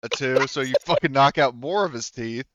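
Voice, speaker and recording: A man's voice: medium pitch, normal speed, a bit bright, dull audio, a little hiss or hum. A man acting as game master, casually narrating and ruling on a tabletop roleplaying game with jokey profanity.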